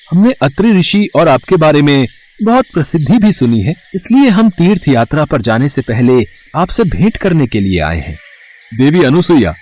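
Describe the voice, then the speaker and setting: A man's voice: on the high side, a little mellow. A man playing a stern, insistent Brahmin pilgrim sage in a devotional story, speaking in a formal, commanding, declamatory way. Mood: solemn, formal. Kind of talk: devotional Hindi story dramatization.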